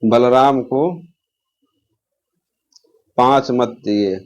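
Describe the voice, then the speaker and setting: A man's voice: medium pitch, very slow, a little mellow. A man teaching an informal exam lesson, repeating key terms and posing questions as he explains.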